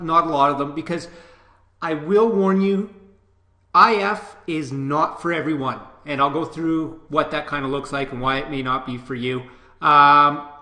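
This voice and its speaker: A middle-aged Canadian man with a bright, forceful voice and a slightly higher pitch, a little stuffy from a cold. He coaches straight to the camera in a direct, no-nonsense way. The sound carries a roomy echo. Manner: direct, coaching, frequent "okay?" check-ins. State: nasal from a cold, sniffling. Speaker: personal trainer. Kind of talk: casual talk to camera.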